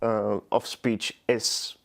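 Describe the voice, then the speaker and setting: A man's voice: lowish voice, little pitch movement, drawn-out words, gravelly. A man with a Czech accent, Czech being his native language, answering as a poet in an interview. He speaks thoughtfully and hesitantly, often stopping to restart words. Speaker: poet, guest.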